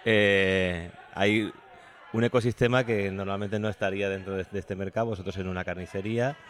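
A man with an accent from Spain co-hosts a radio documentary. His voice sits fairly low as he interviews guests and narrates between stops. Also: steady tone, fairly quick, fairly bright tone. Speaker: radio co-host.

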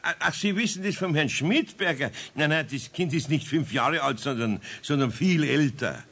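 Husky older Bavarian carpenter. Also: male voice, on the high side, expressive, normal speed, fairly bright tone, heavily acted. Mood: flustered, polite. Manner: hesitant, stammering, placating. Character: good-natured, flustered older master carpenter. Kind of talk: children's radio play acting.

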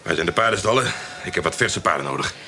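An actor playing a stern, pompous army captain in a historical radio play, curt and commanding. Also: male voice, low-pitched, lively intonation, fast, sharp tone, a little hiss or hum, exaggerated voice. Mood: stern, imperious. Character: stern, arrogant male army captain requisitioning a house. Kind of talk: Dutch radio play acting.

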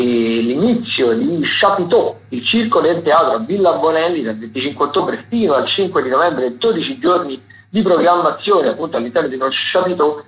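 A man presents listings on the radio in an informal, wandering style. His voice sits at an average pitch, and he stumbles, backtracks and corrects himself as he goes. The sound is a little dull, with clear room echo around the voice. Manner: halting, digressive, self-correcting. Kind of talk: informal spoken radio listings of upcoming shows. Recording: roomy, slightly dull radio broadcast sound.

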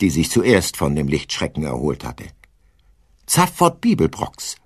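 A man's voice: on the low side, a little mellow, husky. A man narrating a scripted radio play as its storyteller.

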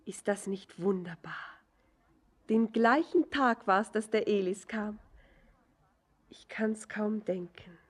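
An actress playing a dreamy, tender young miner's daughter in a German radio play, lyrical and often lapsing into whispers. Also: female voice, mid-range voice, slow, long pauses, breathy edge. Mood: wondering, dreamy.

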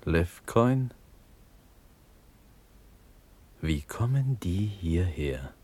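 An actor playing a dreamy young prince in a classical verse radio play, hushed and halting, often slipping into whispers. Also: male voice, low-pitched, big pitch swings, husky, full character voice. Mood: tender, wondering. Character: dreamy, entranced young prince facing death.

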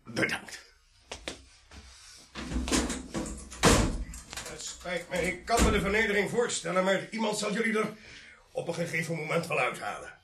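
A man's voice: sits high, expressive, a little fast, background noise. An actor in a Dutch radio play playing a menacing gunman who commands with sardonic courtesy. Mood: curt, smug. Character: menacing gunman holding policemen hostage, mockingly polite.

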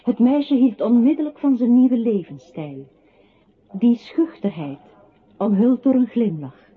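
A woman acting as a narrator in a literary radio drama, with measured storytelling. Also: fairly high pitch, lively intonation. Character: woman narrator telling a brooding man's story. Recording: thin phone-like sound with clear noise.

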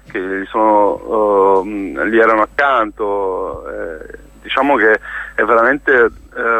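A man speaking as a theatre guest in a radio interview, telling stories haltingly and repeating words emphatically as he searches for them. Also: medium pitch, pushed and bright, rough recording. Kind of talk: spoken radio interview, storytelling.

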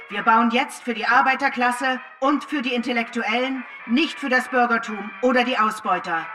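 An actress playing an ironic, restless storyteller in a deliberate, declamatory theatrical monologue. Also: female voice, a little high, far from the mic, close to an everyday voice. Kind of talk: theatrical scripted monologue with narration. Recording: music behind, voice off the mic. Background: music.

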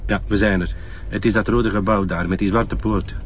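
Man playing flemish police sidekick, sensible n questioning, kinda low voice, noisy muffled radio drama. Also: steady tone, moderate pace, far from the mic, lightly acted. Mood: calm, inquiring. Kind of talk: naturalistic radio drama acting.

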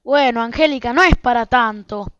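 A woman's voice: on the high side, normal speed, fairly bright tone, clean tone, no room sound, natural voice. A woman playing an easygoing husband and father in an amateur radio drama. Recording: muffled, noisy lo-fi home recording.

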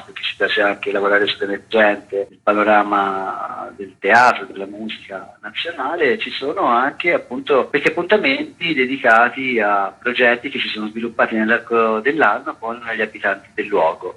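A man's voice: on the low side, bright, forceful tone, husky. A man giving explanatory answers as a festival co-director in a radio interview. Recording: dull lo-fi radio interview audio.

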